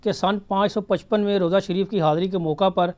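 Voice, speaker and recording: A man's voice: high voice, fairly even intonation, brisk, a little mellow. A man reading out a prepared, formal question to a religious teacher.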